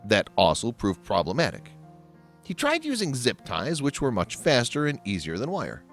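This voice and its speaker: Guy doing scripted voiceover, super animated up and down pitch, music playing behind him. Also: normal speed. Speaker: narrator. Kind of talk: scripted voice-over narration of a DIY makeover. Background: background music.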